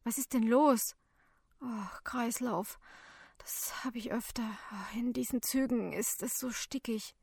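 A woman voicing a weak, dazed young man in an audiobook story, with faint, breathy delivery. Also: fairly high pitch, steady tone, moderate pace, few pauses, no room sound, clean studio sound, pitched above the performer's own voice, natural voice.

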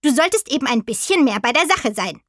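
A woman voicing a grumpy, scolding male raven in a children's storybook, with a croaky, screechy, sarcastic delivery. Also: high-pitched, very animated, brisk, fairly bright tone, no room sound, clean studio sound, exaggerated voice. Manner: reproachful, sarcastic.

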